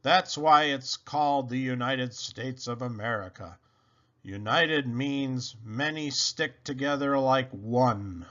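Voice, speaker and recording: A man's voice: mid-range voice, slow, a bit bright, dull audio. A man voicing a tall, solemn schoolboy playing a president, with a firm oratorical manner, in a storybook.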